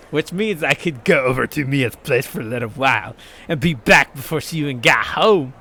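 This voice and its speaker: A man's voice: mid-range voice, animated, moderate pace, bright, forceful tone. A young American man hosting a let's play, reading game narration with irreverent, casual commentary. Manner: irreverent, swearing.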